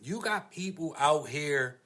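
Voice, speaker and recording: A man's voice: on the high side, expressive, slow pace, bright, forceful tone. A man hosting a casual podcast chat, slangy and repeating phrases for emphasis. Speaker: podcast host.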